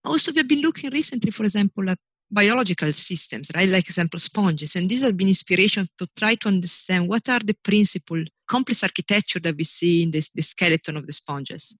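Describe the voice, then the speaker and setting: A woman's voice: mid-range voice, animated, a little fast, no echo. A middle-aged woman from Italy with an Italian accent, an engineering professor answering interview questions in a loose, searching way with many "you know"s and restarts.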